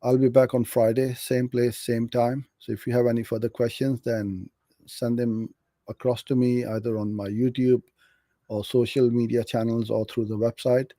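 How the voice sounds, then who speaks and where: A man's voice: mid-range voice, warm tone, no room sound, clean studio sound. A middle-aged man from Pakistan hosting a live question session, giving practical advice in a friendly, direct way. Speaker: host answering viewer questions. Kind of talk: live Q&A on online advertising.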